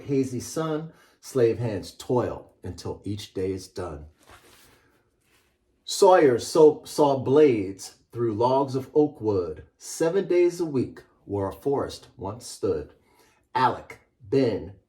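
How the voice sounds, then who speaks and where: A man's voice: mid-range voice, warm tone. A middle-aged man, a children's author, presenting and reading a picture book aloud with an emphatic, rhythmic delivery.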